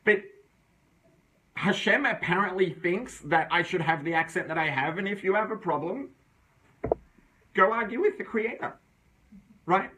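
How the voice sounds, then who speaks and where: A man's voice: high voice, expressive, a little slow, long pauses, pushed and bright, a little hiss or hum, low-quality recording. A man teaching an informal religious class, reasoning aloud in a conversational way and often checking in with his listeners. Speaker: Torah teacher.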